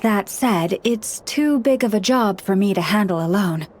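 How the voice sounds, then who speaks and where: A woman voicing a gentle, earnest young woman who counsels animals in a video game, speaking in a measured, formal way. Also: mid-range voice, animated. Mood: calm, pleased. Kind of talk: video game character dialogue. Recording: studio voice over background music.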